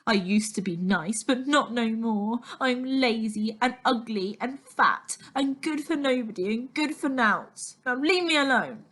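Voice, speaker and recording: Woman acting bitter, defiant young girl, swooping pitch. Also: expressive, unhurried, hardly any pauses, a bit bright. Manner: snappish, childish grammar.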